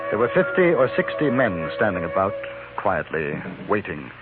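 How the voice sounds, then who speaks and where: An actor playing a composed, principled gentleman adventurer in a 1950s radio western, speaking with restrained, formal politeness. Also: fairly low pitch, big pitch swings, moderate pace, music in the background. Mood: measured narration. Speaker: lead actor and first-person narrator. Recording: muffled old radio broadcast.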